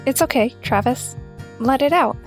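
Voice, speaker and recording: Casting: female actor for a tender, bold young love interest, encouraging, fairly high voice over clear guitar music. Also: normal speed, natural voice. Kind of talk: audio drama acting. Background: plucked guitar music.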